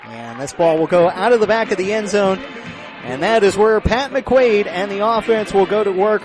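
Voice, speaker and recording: A man's voice: sits high, lively intonation, moderate pace, over music, a crowd in the background. A man giving live radio play-by-play commentary at a sports venue, projecting his voice in urgent, clipped phrases.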